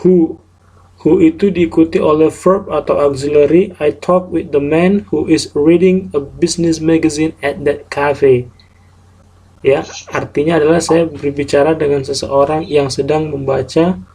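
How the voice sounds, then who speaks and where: A man teaching a translation class online, explaining grammar slowly and step by step with English example sentences. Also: a little high, steady tone, warm tone, a little hiss or hum, rough recording.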